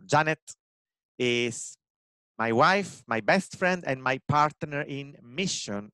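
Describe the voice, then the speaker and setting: A man's voice: mid-range voice, a bit bright, no room sound. A man in his forties from Rome with an Italian accent, talking earnestly on a video call through a headset, with many fillers and restarts. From Italy, Rome. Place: home room with bookshelves.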